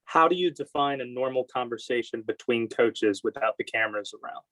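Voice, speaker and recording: A man's voice: mid-range voice, fairly quick, fairly bright tone, dry recording, low-quality recording. An American man asking questions as a sports reporter at a press conference.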